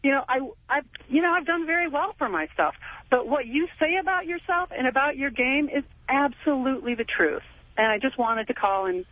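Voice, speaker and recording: A woman's voice: high-pitched, fairly quick, dry recording. An American woman in her forties calling a radio show, talking casually in a chatty, emphatic way. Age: 40s. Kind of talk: casual phone-in talk. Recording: tinny phone line on radio.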